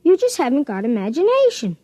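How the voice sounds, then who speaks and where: A woman's voice: high voice, wildly swooping pitch, fairly quick, mellow, no room sound. A woman playing a matter-of-fact, know-it-all six-year-old American boy genius in a mid-century radio drama. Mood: argumentative.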